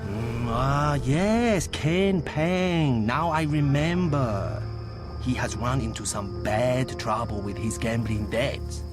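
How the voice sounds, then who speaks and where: An actor playing a sly, cagey underworld informant in a video game, talking coyly and deliberately. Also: male voice, medium pitch, music in the background, lightly acted. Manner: coy, deliberate.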